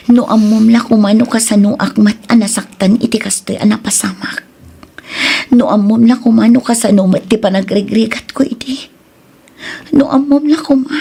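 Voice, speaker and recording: A woman acting a remorseful, tender young woman in an Ilocano romance drama, speaking with emotional, halting pleading and an Ilocano accent over music. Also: on the high side, gravelly, mellow, breathy edge, heavily acted. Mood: pained, pleading. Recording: music bed under the voice.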